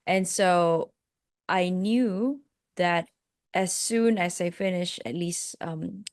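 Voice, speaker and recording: A woman with a Malaysian accent, speaking slowly and in a lowish register as she recounts her path. She talks in a reflective, hesitant way, restarting thoughts and leaning on fillers. The sound is dry and close, with no room around her. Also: dry recording. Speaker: guest, life coach. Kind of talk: telling her life story in a podcast interview.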